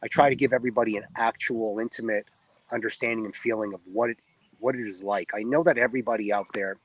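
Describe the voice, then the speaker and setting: A man's voice: lowish voice, fairly even intonation, quick pace, no room sound, low-quality recording. Tired Israeli man, earnest and dry. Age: 40s. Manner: earnest, explanatory, many ums and uhs. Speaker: reserve soldier guest. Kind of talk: interview answers.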